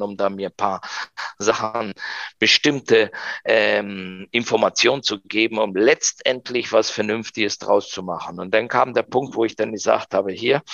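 A man's voice: fairly low pitch, vocal fry, a bit bright, dry recording, muffled recording. A middle-aged man from Argentina, telling his story as a podcast guest, reflective and rambling with many fillers. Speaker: researcher guest.

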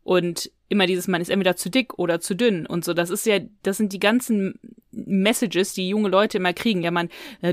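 A woman's voice: medium pitch, no echo, clean studio sound. A woman with speech co-hosts a casual podcast, giving her opinions in an emphatic, colloquial way. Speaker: podcast co-host.

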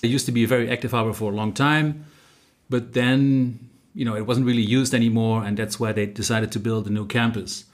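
A middle-aged man from the Netherlands talks in English with a Dutch accent, easygoing and reflective. He circles back on his words and fills the gaps with small asides. His voice sits at a middle pitch for a man. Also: brisk. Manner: relaxed, thoughtful, with frequent fillers and restarts. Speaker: interview guest. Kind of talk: conversational interview.

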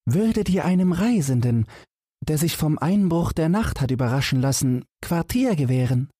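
A fearless young fairy-tale hero, acted by a male reader, cheeky yet courteous. The voice sits well above a normal male pitch, light and teasing, with a mocking edge. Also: lively intonation, normal speed, dark tone, husky, no room sound, clean studio sound. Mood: polite. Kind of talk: fairy-tale audiobook character.

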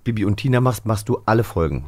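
A man's voice: fairly low pitch, expressive, quick pace. A man hosting a casual interview, putting questions in a conversational way. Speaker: interview host.

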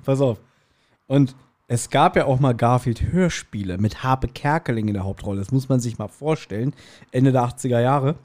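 A man's voice: mid-range voice, normal speed, darkish tone. A man, chatting casually and telling nostalgic anecdotes in a blunt, joking way as a podcast host.

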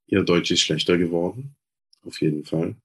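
A man's voice: low voice, moderate pace, a bit bright, husky. A man from Israel, a Hebrew speaker, talking hesitantly as an interview guest with many filler sounds and restarts. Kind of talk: casual interview talk.

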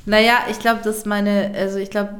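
A woman chef in a casual interview, speaking reflectively and often pausing to search for words. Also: medium pitch, level tone, a bit bright, smooth. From Germany.

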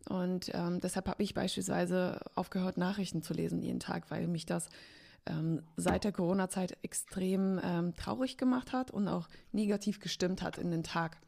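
A woman's voice: fairly low pitch, fairly even intonation, normal speed, vocal fry, warm tone. A woman from Poland and eastern Germany, a guest in a casual podcast chat, talking candidly and laughing often. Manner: chatty, candid, laughs often, many fillers. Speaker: podcast guest, agency co-founder.

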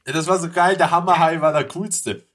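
A man's voice: fairly high pitch, fairly quick, a bit bright. A man chatting casually as a podcast panelist, enthusiastic and slangy. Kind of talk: casual chat.

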